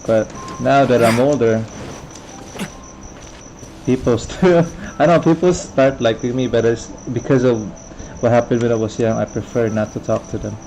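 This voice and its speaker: A man with a mid-range voice talks casually on a game livestream. He muses in a loose, rambling way. Clear background noise sits under his speech. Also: medium pitch, steady tone, normal speed, warm tone. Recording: noisy stream with game sounds behind.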